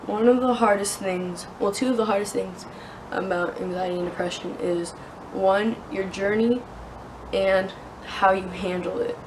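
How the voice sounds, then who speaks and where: A young woman with a sore throat talks into her phone outside, earnest and halting, full of fillers. Her voice sits at an ordinary middle pitch. Wind and outdoor noise are clearly heard behind her. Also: fairly even intonation. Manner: hesitant, many fillers, earnest. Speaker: vlogger. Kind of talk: casual talking-head vlog. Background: wind and outdoor ambience.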